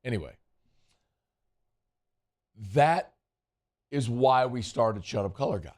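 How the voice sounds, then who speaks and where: A man's voice: mid-range voice, animated, slow pace, darkish tone. A man hosting casual sports talk radio, chatty and rambling, his voice worn and strained. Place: radio studio.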